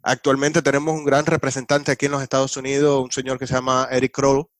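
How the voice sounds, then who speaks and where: A man from Cuba with a Cuban Spanish accent, a guest on a podcast explaining his work in casual, relaxed talk. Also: mid-range voice, quick pace, dry recording. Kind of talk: casual interview chat.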